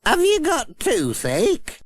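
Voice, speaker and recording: A man's voice: extremely high pitch, huge pitch swings, takes it slowly, pitched above the performer's own voice, heavily acted. A man voicing a kindly old granny in a children's storybook audiobook. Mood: knowing, kindly. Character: kindly old granny offering home remedies.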